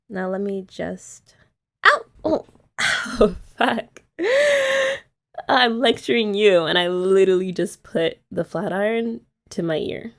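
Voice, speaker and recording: A young woman doing girlfriend roleplay ASMR, chatty and teasing with rambling asides. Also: mid-range voice. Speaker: ASMR creator.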